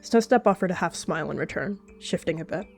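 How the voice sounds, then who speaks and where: A woman's voice: on the low side, expressive, fast talker, gravelly, faint music behind. A woman narrating a cat roleplay story and speaking her character's lines in quotes.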